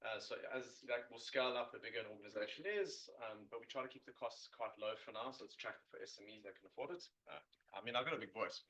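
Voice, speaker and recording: A man answering questions off the cuff as a startup founder, halting and hedging as he explains. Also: medium pitch, bright, forceful tone, low-quality recording.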